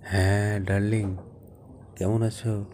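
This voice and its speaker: A low-pitched man with a Bangladeshi accent chats intimately on the phone. His tone is dark and soft-edged, and he coaxes and flirts as he talks. There is faint noise on the call recording. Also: flat delivery, slow. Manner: flirtatious, coaxing. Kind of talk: casual intimate phone chat.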